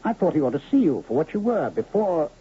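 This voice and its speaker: An actor playing a courtly, wry medicine man and illusionist of the Old West, speaking in a measured, sly radio drama manner. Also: on the high side, sing-song, mellow, breathy edge, exaggerated voice. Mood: sly, composed. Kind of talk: 1970s radio drama acting. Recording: muffled, noisy 1970s radio drama recording.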